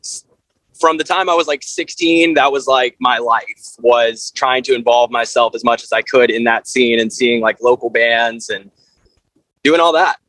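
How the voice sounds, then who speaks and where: A man from South Carolina chatting casually as an interview guest, in a loose, halting way full of filler words. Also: medium pitch, steady tone, fairly bright tone, no echo, poor audio. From South Carolina, USA. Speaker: interview guest, band frontman. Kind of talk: casual chat.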